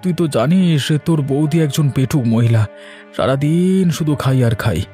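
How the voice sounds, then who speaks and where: A man's voice: fairly high pitch, lively intonation, moderate pace, mellow, natural voice. A man voicing a harried poor farmer husband in a cartoon, self-pitying and exasperated. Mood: despairing. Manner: complaining, exasperated. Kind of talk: animated cartoon voice acting. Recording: faint music behind the voice.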